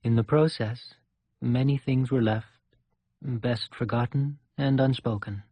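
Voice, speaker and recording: A man's voice: mid-range voice, fairly even intonation, measured pace, dry recording. A man with a Canadian accent, reading a reflective scripted narration. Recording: dry, muffled audio with music behind. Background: music.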